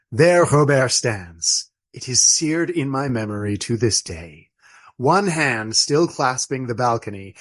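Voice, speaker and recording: A man playing an old friar in a radio play, speaking in formal, ornate, deliberate phrases with gallant fondness. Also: medium pitch. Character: courtly old Trappist friar, fond, wry, gallant storyteller.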